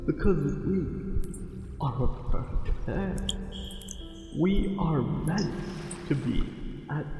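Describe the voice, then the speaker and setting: A man playing a possessive, lovestruck merman in an ASMR roleplay, speaking in a formal, intimate, seductive way with deliberate pauses between phrases. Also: on the high side, expressive. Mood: smitten, fervent. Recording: very echoey, long reverb, faint music.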